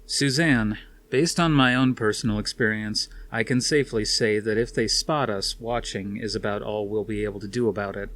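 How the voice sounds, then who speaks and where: A man voicing a calm, self-assured, weary male scientist in a storybook reading, dry and matter-of-fact. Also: fairly low pitch, normal speed, close to an everyday voice.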